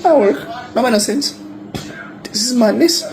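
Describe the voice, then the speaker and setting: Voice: mid-range voice, animated, unhurried. Grumbling Jamaican voice over music. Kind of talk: casual complaint. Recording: voice heard over loud music.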